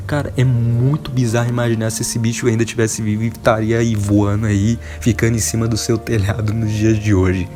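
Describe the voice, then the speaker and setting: Brazilian male host, lower register, informal and emphatic enthusiast commentary over clearly audible music. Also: little pitch movement, brisk, hardly any pauses. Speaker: video host. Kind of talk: casual enthusiast video commentary.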